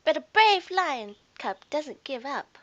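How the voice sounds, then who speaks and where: Woman voicing a plucky, high-pitched little lion cub. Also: sing-song, slow, a bit bright, muffled recording, full character voice. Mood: boastful. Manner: bold, self-important, exclaiming.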